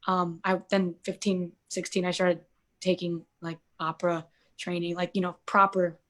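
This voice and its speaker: A young woman in her twenties, a guest singer in a relaxed interview. She talks in an easy, chatty way, full of "like" and "um", and often stops and starts her sentences over. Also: on the low side, normal speed. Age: 20s. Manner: chatty, many fillers and restarts. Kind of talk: casual interview chat.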